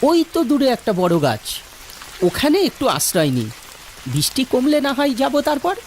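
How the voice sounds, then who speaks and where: A man's voice: very high voice, very animated, normal speed, a little mellow, slight background noise, exaggerated voice. A man playing an anxious, kindly young villager talking fretfully to himself in a horror cartoon. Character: anxious kindly young married villager travelling home alone.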